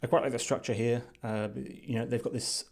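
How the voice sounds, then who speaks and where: A man giving unscripted screen-share commentary as a reviewer, thinking aloud in a measured, thoughtful way. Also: mid-range voice, steady tone, gravelly, darkish tone. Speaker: design reviewer.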